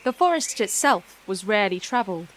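A woman's voice: mid-range voice, animated, fairly quick, fairly bright tone, poor audio. A woman reading a scripted fairy-tale narration in a storytelling style.

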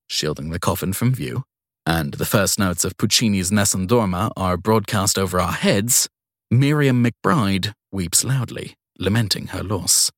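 A man narrates first-person crime fiction in a low voice. His intonation moves widely as he tells the story in measured phrases with dramatic pauses. The sound is dry, with no room around it. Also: no echo.

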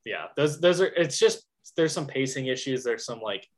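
A man's voice: mid-range voice, lively intonation, moderate pace. A man talking casually about a film on a podcast, rambling and thinking aloud as he goes. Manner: rambling, lots of fillers and restarts, thinks aloud. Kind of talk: casual film review chat.

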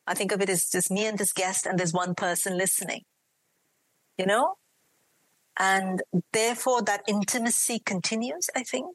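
A woman's voice: mid-range voice, no room sound, rough recording. A woman from India with an Indian accent, speaking earnestly and fluidly as a podcaster guest in an interview. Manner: earnest, flowing, emphatic. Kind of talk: reflective interview conversation.

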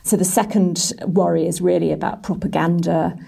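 A woman's voice: lowish voice, fairly even intonation, normal speed, gravelly, warm tone, husky. A woman working as a journalist and editor, giving explanatory answers in an interview. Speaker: business and technology editor.

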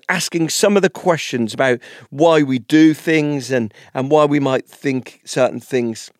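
A man's voice: medium pitch, dry recording, clean studio sound. A man hosting a podcast, introducing and interviewing a guest in an enthusiastic way with occasional repeats. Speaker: podcast host.

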